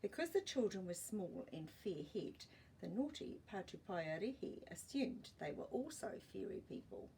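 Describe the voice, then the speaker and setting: A woman's voice: medium pitch, very animated, measured pace, warm tone, slight background noise, poor audio. A woman reading a children's story expressively, with playful asides to listeners.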